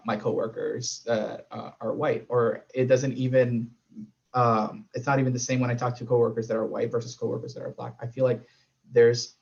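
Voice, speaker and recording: A man's voice: mid-range voice, creaky, dull audio. A man from Puerto Rico whose first language is Spanish, sharing personal experience in a panel discussion, speaking thoughtfully with frequent hesitations. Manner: thoughtful, hesitant with many fillers.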